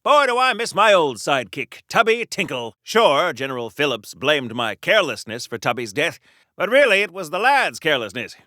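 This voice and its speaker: Hammy, high-pitched 1940s American hero. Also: male voice, big pitch swings, normal speed, bright, forceful tone, dry recording, studio quality. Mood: chatty reminiscing. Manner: hammy, chatty, old-fashioned wholesome slang. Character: brash, rambling old-time American soldier-hero parody. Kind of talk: 1940s radio-serial style video game voice acting.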